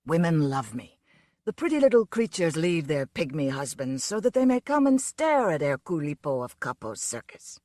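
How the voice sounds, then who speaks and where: A woman's voice: low-pitched, big pitch swings, moderate pace, warm tone, no room sound, clean studio sound. A woman voicing a huge, drunken circus fat man who brags sleepily and boastfully. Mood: gloating.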